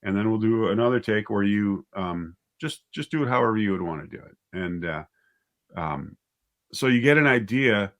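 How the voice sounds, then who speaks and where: A man's voice: on the low side, animated, moderate pace. A man speaking casually as an interview guest, a writer and director thinking aloud in a hesitant, conversational way.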